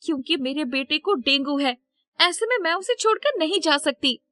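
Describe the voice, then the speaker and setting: Woman acting high-voiced, worried young mother. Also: lively intonation, normal speed, fairly bright tone. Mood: distressed, pleading. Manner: earnest, emotional, pleading. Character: worried, devoted poor young mother, gentle and pleading. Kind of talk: Hindi moral story cartoon dialogue.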